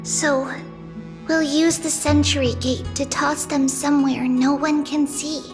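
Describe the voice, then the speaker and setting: A woman voicing a composed, serious, quietly analytical young girl in a video game. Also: sits high, level tone, measured pace, music in the background. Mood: resolute. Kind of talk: restrained game voice acting.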